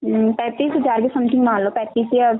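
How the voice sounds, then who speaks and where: High-pitched woman on a tinny phone line. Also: steady tone, fairly quick, a little hiss or hum. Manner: explanatory, often seeks agreement. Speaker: network marketing recruiter. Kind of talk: persuasive phone sales pitch. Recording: tinny phone call recording.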